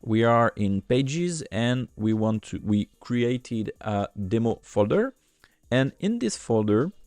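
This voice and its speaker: Low gravelly man. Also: a little mellow, no echo. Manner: hesitant, many fillers and restarts. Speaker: software demo presenter. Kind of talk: screen-recorded software tutorial.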